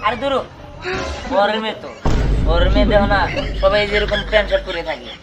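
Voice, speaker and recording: A man's voice: high-pitched, fast, crowd noise, close to an everyday voice. A young man playing an exasperated groom in a comic short film, explaining himself defensively in a Bangladeshi accent. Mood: flustered. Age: young adult. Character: exasperated young village groom defending his city bride. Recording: music behind.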